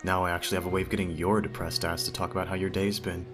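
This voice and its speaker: A man's voice: low voice, little pitch movement, rapid-fire, over music, natural voice. A man playing a teasing, smitten teenage childhood friend in an ASMR audio roleplay, talking straight to the listener in a conversational way. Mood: playful, fond.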